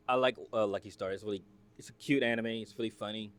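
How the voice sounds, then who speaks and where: A man's voice: on the low side, fairly even intonation, brisk, fairly bright tone. A man from the United States hosting a reaction video, talking casually in a rambling, filler-heavy, chatty way.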